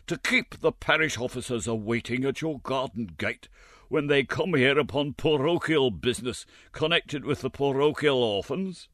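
A man's voice: medium pitch, normal speed, a bit bright, no echo, clean studio sound, voice pushed up, natural voice. A man voicing a pompous, choleric, fat parish beadle with a self-important, lecturing manner.

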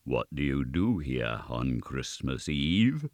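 Guy doing a mean old goblin from a storybook, low n bright, slow sneery questions. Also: expressive, vocal fry, no echo, full character voice. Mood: stern, menacing. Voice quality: deep. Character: stern, mocking old male goblin with deep voice. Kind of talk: dramatised storybook reading.

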